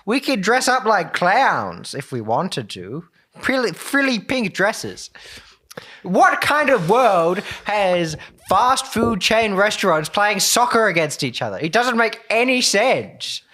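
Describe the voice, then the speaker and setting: A man's voice: sits high, big pitch swings, slow pace, sharp tone, full character voice. A man playing a delusional, chaos-loving wizard who rants and rambles dramatically in an improvised comedy roleplay. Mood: argumentative.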